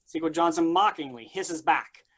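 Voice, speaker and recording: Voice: mid-range voice, moderate pace, fairly bright tone, breathy edge. A narrator reading stage directions in a scripted narration style at a staged play reading.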